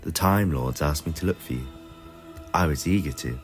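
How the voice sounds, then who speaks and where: A man's voice: very deep voice, normal speed, soft background music. A man voicing a mysterious, menacing woman in a fan audio drama, cryptic and threatening in manner. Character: mysterious, menacing woman from a time-travelling race.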